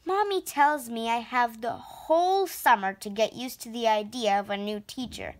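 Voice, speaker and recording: A woman reading a children's story aloud with expressive, deliberate phrasing. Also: fairly high pitch. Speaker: storybook reader. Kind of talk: children's read-aloud narration.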